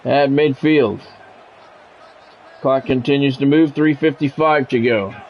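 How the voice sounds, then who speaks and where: A man's voice: medium pitch, unhurried. An American man doing play-by-play commentary on a football game in short, clipped announcer phrases. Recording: dull lo-fi sound, music behind.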